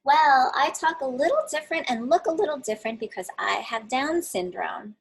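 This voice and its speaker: A woman's voice: sits high, expressive, moderate pace, gravelly, slightly rough, pitched above the performer's own voice, heavily acted, poor audio. A woman reading aloud as a friendly, easygoing young man bagging groceries. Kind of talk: storybook read-aloud.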